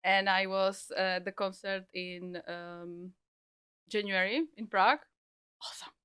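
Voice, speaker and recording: Czech-accented woman, thirties, chatty guest, smooth tone. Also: medium pitch, little pitch movement, measured pace, bright, forceful tone. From Czech Republic. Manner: chatty, laughing. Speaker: guest, back office of bakery. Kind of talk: casual interview chat. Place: bakery.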